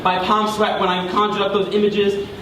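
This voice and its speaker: A young man performing theatrical spoken word poetry on stage, with dramatic, deliberate phrasing and drawn-out emphasis. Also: high voice, little pitch movement. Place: large room before a live audience. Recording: echoey hall, distant mic, clear background noise.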